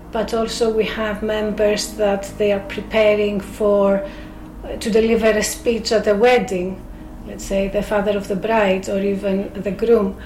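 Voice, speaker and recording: A woman with a Greek accent, a speakers club member explaining carefully as a radio interview guest, pausing on fillers. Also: medium pitch, darkish tone, roomy sound, low-quality recording.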